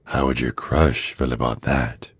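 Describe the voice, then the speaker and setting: An extremely low-voiced vampire acted out by a man, smug and possessive, speaks close and dry as if to a captive. The delivery is seductive, teasing and deliberate, in the manner of an intimate ASMR roleplay. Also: level tone, dry recording, full character voice. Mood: taunting, menacing. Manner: slow, teasing, deliberate, with breathy drops toward a whisper. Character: menacing, possessive male vampire neighbor, smugly seductive.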